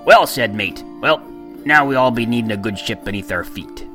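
A man voicing a scrawny, featherless male parrot with loud, chirpy pirate talk. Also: mid-range voice, animated, moderate pace. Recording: narration with music underneath.

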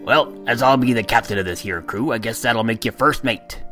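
A man voicing a kindly, rough-spoken pirate with a folksy pirate dialect. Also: mid-range voice, a little fast, pushed and bright, lightly acted. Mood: gruffly kind. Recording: narration with music underneath.

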